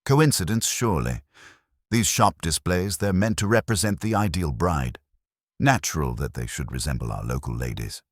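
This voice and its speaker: A man's voice: sits low, expressive, normal speed, no room sound, clean studio sound. A man voicing an uneasy, falsely cheerful town councillor in a mystery story, brushing things off breezily. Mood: nervous dismissal. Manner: dismissive, forcedly breezy.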